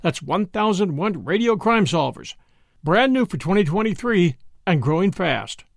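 A man introduces his podcast in a genial, scripted way, with a high voice and a very lively pitch. The recording is dry and close. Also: moderate pace, gravelly, dry recording. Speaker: podcast host.

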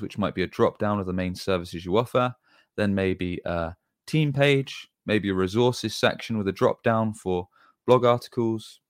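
A man's voice: on the low side, dry recording, studio quality. A man giving an explanatory tutorial as an agency presenter, talking informally and going through things step by step. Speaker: web design agency presenter.

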